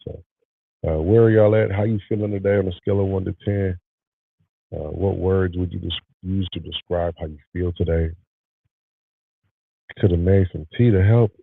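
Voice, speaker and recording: A man's voice: deep voice, gravelly, slightly rough, dry recording, narrow, tinny audio. A male podcast host chatting casually with live viewers from his home studio, sounding tired and nasally congested. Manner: relaxed, talks to live viewers. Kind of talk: casual livestream chat.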